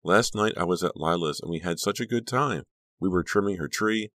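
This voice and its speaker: Casting: male reader playing high-school girl, shaken and guarded. Raised pitch, hesitant, strained audiobook dialogue. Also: brisk, a bit bright, no room sound, clean studio sound. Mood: fragile.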